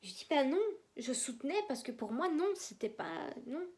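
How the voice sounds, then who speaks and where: A woman's voice: sits high, lively intonation, moderate pace, darkish tone. Young woman vlogging. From German-speaking Belgium. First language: German. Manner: rambling, repeats herself, hesitant. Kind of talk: casual storytelling to camera.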